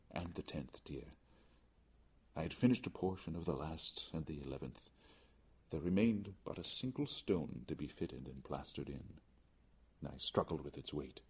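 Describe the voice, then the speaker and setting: A man reading gothic fiction aloud as a dramatic audiobook narrator, theatrical, deliberate and intense. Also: extremely low pitch, darkish tone, breathy edge. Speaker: audiobook reader.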